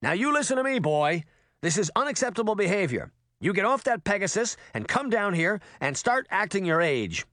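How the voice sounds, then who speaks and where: A man's voice: on the high side, wildly swooping pitch, moderate pace, fairly bright tone, dry recording, clean studio sound, heavily acted. A man voicing a grumbling, exasperated middle-aged father in a children's fantasy audiobook, commanding and complaining.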